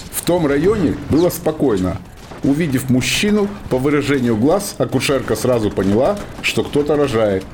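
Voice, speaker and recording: A man narrating a scripted radio play in a dramatic storytelling manner, with deliberate pauses. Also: medium pitch, darkish tone, slight background noise. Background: music.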